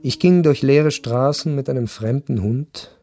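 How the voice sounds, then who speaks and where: An actor playing a melancholy aristocrat who narrates a literary radio drama in a brooding, deliberate way. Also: male voice, medium pitch, warm tone, no room sound, studio quality, natural voice. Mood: desolate. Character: melancholy Viennese aristocrat recounting his lonely last night. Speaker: first-person narrator. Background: string music.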